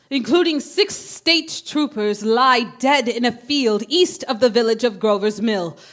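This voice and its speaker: Woman acting as old-time radio news announcer, bit high, formal, measured, keeps composed reading bulletins. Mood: grave.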